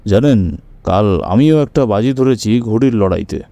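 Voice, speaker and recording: Radio drama: earnest, chatty male hobbyist, proud of his kites, very soft dark tone. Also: fairly low pitch, expressive, moderate pace, no echo. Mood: sheepish. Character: Fatikbabu, keen kite-flying man, new tenant, earnest and proud. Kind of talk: radio audio-story acting, conversational storytelling.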